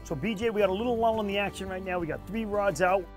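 A man's voice: high-pitched, fast, soft background music. A middle-aged man hosting a fishing show from a small boat at sea, explaining techniques in a casual, friendly way. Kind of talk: casual on-camera fishing talk.

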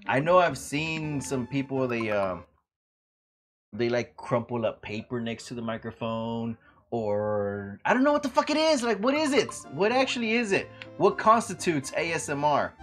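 A man's voice: medium pitch, a bit bright. A young man live-streaming a video game from home, chatting loosely and cursing as he talks to his chat. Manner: loose, profane, talks to chat, jokes around. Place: home room, seen on webcam.

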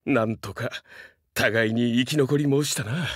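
A man's voice: medium pitch, a little slow, no room sound, clean studio sound, natural voice. A man voicing a young, fiercely loyal dog-man samurai in a Japanese game, speaking earnestly in formal, archaic warrior speech with dramatic delivery. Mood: courteous, devoted.

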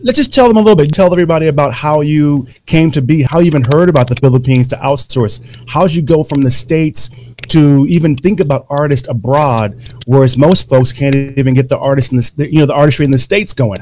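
A man's voice: fairly high pitch, fast, a little mellow, slight background noise, rough recording. A man hosting a live online show from a home studio, upbeat and speaking straight to his viewers. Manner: upbeat, addresses audience as you guys. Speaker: host. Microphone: desk microphone in home studio.

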